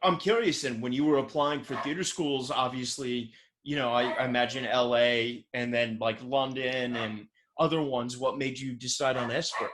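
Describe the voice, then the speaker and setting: A man hosting a casual interview, gushing and enthusiastic, with lots of "like" and quick praise. His voice sits at a middle pitch. He sounds like he is talking from home in a city apartment. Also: steady tone, noisy background. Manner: enthusiastic, effusive praise, many fillers like "like". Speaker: podcast host.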